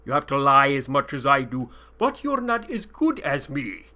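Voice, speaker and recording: A man voicing a cruel, scheming male desert sheik with a booming, mocking delivery. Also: sing-song, normal speed, sharp tone. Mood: menacing.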